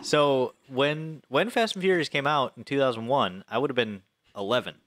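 A man's voice: medium pitch, moderate pace, noisy background. A thirty-year-old American man telling personal stories in casual, informal chat with some swearing. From Flint area, Michigan, US.

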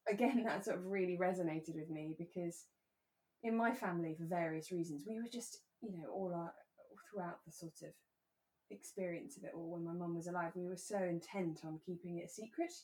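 A woman's voice: fairly low pitch, fairly quick, dark tone, low-quality recording. Woman chatting casually on a podcast. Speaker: podcast co-host. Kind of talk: relaxed casual chat about a radio drama.

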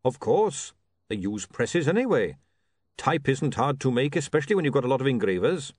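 A man voicing a blunt, glum dwarf printer who talks fast and matter-of-fact. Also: mid-range voice, big pitch swings, darkish tone, husky, dry recording. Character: gruff practical dwarf printer, male.

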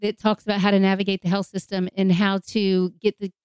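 Smooth-voiced earnest woman guest. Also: medium pitch, little pitch movement, brisk, a little mellow, no room sound, studio quality. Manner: earnest and emphatic, with light laughs. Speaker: political candidate, podcast guest. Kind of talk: interview conversation.

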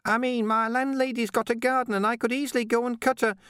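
A man voicing a nervous, eager young male watch corporal who talks in a high, anxious rush. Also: level tone, fast, fairly bright tone, clean tone, no echo, clean studio sound. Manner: high, anxious, stammering over itself.

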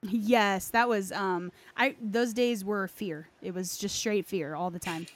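A woman's voice: medium pitch, measured pace. A woman in casual podcast conversation about game lore, phrasing loosely with lots of "like" as a filler. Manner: hesitant phrasing with frequent "like". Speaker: podcast speaker.

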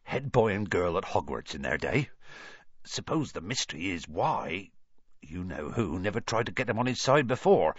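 A man voicing a gruff, warm-hearted rustic giant with folksy, dropped words and a halting way when troubled. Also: low-pitched, very animated, dry recording, highs cut off, exaggerated voice. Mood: grave, hushed.

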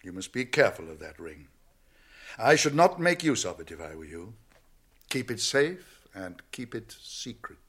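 A grave old British wizard in a radio play. His delivery is measured and secretive, full of cautionary weight, with a widely swinging pitch that keeps each phrase expressive. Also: husky, heavily acted. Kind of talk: British radio drama acting.

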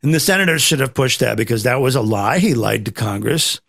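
A man hosting a casual political commentary chat, rambling and halting as he often repeats his phrases. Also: medium pitch, expressive, creaky, a bit bright, no echo, clean studio sound. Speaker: talk show host.

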